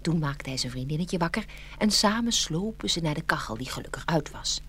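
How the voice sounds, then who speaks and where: A woman's voice: low voice, lively intonation, fairly quick, fairly bright tone, slight background noise. A woman narrating a scripted fairy tale expressively, as a storyteller.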